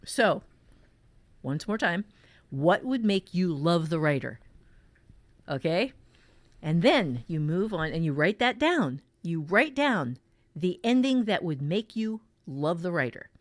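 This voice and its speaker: A woman hosting an informal talk as a writing teacher, emphatic and halting, often repeating words for stress. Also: lowish voice, very animated, no echo. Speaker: podcast host and fiction-writing teacher. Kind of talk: informal writing advice in conversation.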